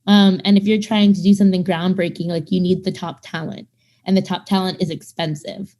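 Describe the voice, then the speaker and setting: A woman's voice: fairly low pitch, flat delivery, brisk, darkish tone. A woman in her thirties, a startup founder, talking casually in an interview, with many fillers and quoted asides. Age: 30s. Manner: chatty, lots of fillers, acts out quoted people.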